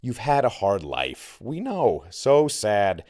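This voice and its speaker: A man's voice: on the low side, huge pitch swings, slow pace, darkish tone, full character voice. A man voicing a mocking, cocky older teenage boy in an audiobook, sarcastic and taunting.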